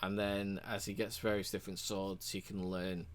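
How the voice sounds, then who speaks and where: A man casually walking through a game's achievements, relaxed and rambling, with frequent ums and uhs. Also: low-pitched, normal speed. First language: English. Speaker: game reviewer.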